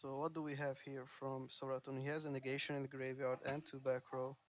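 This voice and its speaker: A man co-casting a card game match on stream, calm and matter-of-fact. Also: medium pitch, fairly quick. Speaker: co-caster. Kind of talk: esports game commentary.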